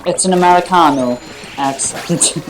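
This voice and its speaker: A young man from the United States chatting while playing a game together, speaking in a halting way full of fillers. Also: fairly high pitch, over music, rough recording. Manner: halting, filler-heavy speech. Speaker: co-player. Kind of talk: casual chat over gameplay.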